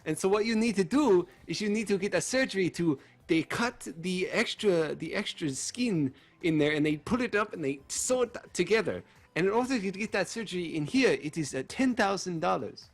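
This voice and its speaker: A man's voice: high voice, lively intonation, normal speed, voice pushed up. A man voicing a blunt, crude Japanese professor who explains things matter-of-factly. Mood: earnest lecturing. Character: Japanese professor, blunt man talking frankly about toilets.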